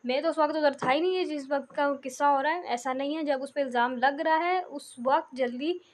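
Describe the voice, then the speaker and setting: Pakistani lady teacher with a pretty high voice, explaining notes, reads the english bits out slow and careful. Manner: repeats a check phrase after points. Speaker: law lecturer. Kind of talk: instructional lecture, explaining and reading out notes.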